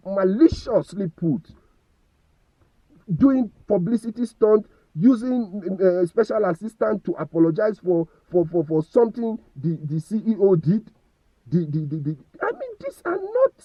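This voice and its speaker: Nigerian guy ranting his opinion on a vlog, husky high voice jumping all over, keeps repeating words, dry dull recording. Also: no room sound. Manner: repeats and stutters words, rhetorical questions. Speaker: vlogger giving opinion. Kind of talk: impassioned commentary.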